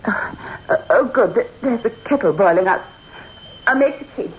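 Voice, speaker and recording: An actress playing a charming young wife in a 1960s radio horror drama, polite, eager and playful. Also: female voice, mid-range voice, animated, moderate pace, natural voice. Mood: unsettled. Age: young adult. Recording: dull, noisy old radio recording.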